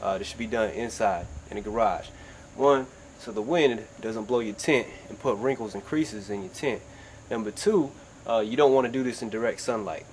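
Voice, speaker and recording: A man's voice: fairly low pitch, normal speed, hardly any pauses, rough recording. Sniffly man giving a casual how-to. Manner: laid-back, chatty, frequent fillers and throat clearing. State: getting over a cold, sniffling. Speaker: DIY video maker. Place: outdoors beside a car in sunshine. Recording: outdoor ambient noise.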